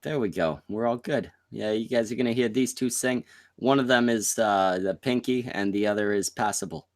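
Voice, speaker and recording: Crude, joking man hosting a podcast. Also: normal speed. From Canada. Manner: joking, crude, jumps to sudden high squeaks. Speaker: podcast host. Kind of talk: casual podcast chat.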